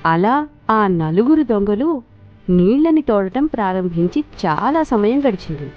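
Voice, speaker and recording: A woman's voice: mid-range voice, big pitch swings, measured pace. A woman narrating a scripted children's story as storyteller. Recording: muffled lo-fi, music underneath.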